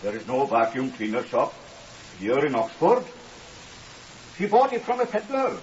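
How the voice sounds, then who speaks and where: Polite young welsh scholar, very earnest n proper, old radio drama, muffled with hiss. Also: male voice, mid-range voice, huge pitch swings, normal speed, recorded from a distance. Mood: puzzled, agitated. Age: young adult. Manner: formal, deferential, singsong phrasing. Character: earnest young Welsh scholar, grieving brother, stiffly formal. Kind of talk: 1950s radio drama acting. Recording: muffled hissy old radio recording, off mic.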